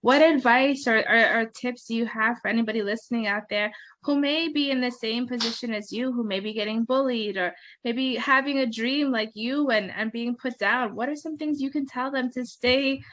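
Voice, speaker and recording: A woman's voice: fairly high pitch, moderate pace, fairly bright tone, dry recording. A woman hosting a podcast interview, asking questions and cheering her guest on. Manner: encouraging, affirming interjections. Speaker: podcast host. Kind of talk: casual interview. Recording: dry, muffled lo-fi audio.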